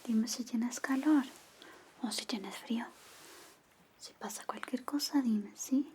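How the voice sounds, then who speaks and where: A woman playing a caring, protective young girlfriend in a soothing, gently firm whispered ASMR roleplay. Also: on the high side, takes it slowly, a little hiss or hum. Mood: tender, caring.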